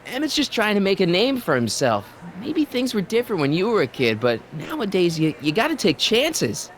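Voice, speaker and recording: A man's voice: sits high, wildly swooping pitch, normal speed. An actor playing an earnest, well-meaning American teenage boy in a video game, casual, hesitant and pleading. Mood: curious.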